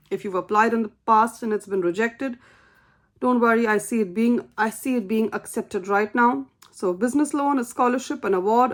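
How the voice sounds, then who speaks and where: Earnest higher-voiced tarot-reading woman. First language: not English. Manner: earnest, encouraging, repeats key phrases. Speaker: tarot reader.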